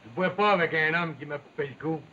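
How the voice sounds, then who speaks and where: A man's voice: a little high, fairly quick, pushed and bright. A male actor playing a proud, quarrelsome lumberjack in a 1950s television drama, with a Quebec French accent and blunt, accusing rural speech. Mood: resentful. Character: Big William, proud, resentful, quarrelsome lumberjack. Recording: muffled, noisy old television soundtrack.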